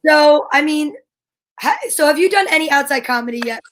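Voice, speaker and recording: A woman's voice: sits high, expressive, fairly quick, a bit bright. A woman co-hosting a casual talk show, explaining things as she thinks aloud. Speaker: co-host of a comedy talk show. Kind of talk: casual chat.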